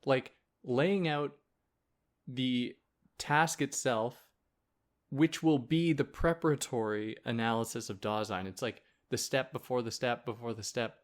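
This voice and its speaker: A man's voice: mid-range voice, a little slow. Male podcast host, soothing voice, laid-back conversational lecture delivery. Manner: relaxed, conversational, with fillers and asides. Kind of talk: casual explanatory philosophy lecture.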